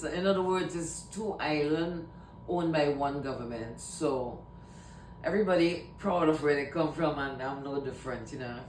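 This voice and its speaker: Trinidadian woman, unhurried casual vlog talk, echoey room, mic set back, faint rumble. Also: a little mellow, far from the mic. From Trinidad and Tobago. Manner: rambling, repeats herself, slow and emphatic. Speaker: vlogger.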